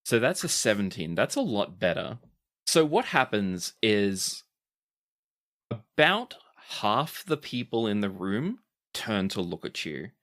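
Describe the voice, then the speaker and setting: A man narrating a tabletop role-playing game as game master, describing scenes and calling for dice rolls. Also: takes it slowly. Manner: lively, shifting pitch as he narrates.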